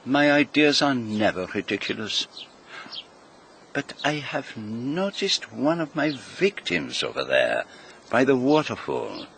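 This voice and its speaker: An actor playing a shrewd, vain and courteous foreign detective in a radio mystery, speaking formally and deliberately with pointed questions. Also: male voice, mid-range voice, big pitch swings, creaky, fairly bright tone, slight background noise, exaggerated voice. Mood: intrigued, self-assured. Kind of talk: British radio mystery drama acting.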